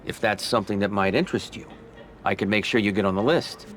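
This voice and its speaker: A man playing a low-voiced, persuasive American mobster. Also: fast talker, fairly bright tone, slight background noise, close to an everyday voice. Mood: smooth, ingratiating. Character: smooth, persuasive Italian-American mafia boss, controlled and ambitious. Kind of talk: video game cutscene acting.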